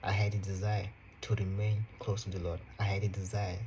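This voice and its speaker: Young man, about twenty, Portuguese native speaker; mellow, relaxed, motivational vlog to camera. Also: low-pitched, a little hiss or hum. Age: young adult. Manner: relaxed, unhurried, repeats phrases, fillers like "you know" and "right". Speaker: vlogger. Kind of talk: casual motivational talk to camera. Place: his room.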